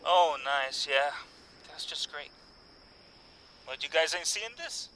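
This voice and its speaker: An actor playing a wary, bookish soldier in an audio drama, by turns sarcastic and earnest. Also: male voice, a little high, lively intonation, takes it slowly, pushed and bright, slight background noise, exaggerated voice. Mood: uneasy sarcasm. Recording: long hall reverb, music underneath.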